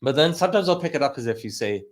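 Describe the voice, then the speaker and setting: A man from Manitoba hosting a relaxed podcast interview. He talks in a chatty, rambling way with lots of quick asides. His voice sits in a middle pitch. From Manitoba, Canada. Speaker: podcast host. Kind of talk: casual interview chat.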